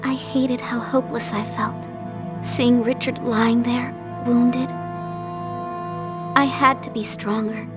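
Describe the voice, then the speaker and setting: A woman's voice: fairly high pitch, level tone, slow pace, pauses often. An actress playing a young female medic in a game, speaking earnestly and with resolve. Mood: resolute. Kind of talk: game cutscene voice acting. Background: music.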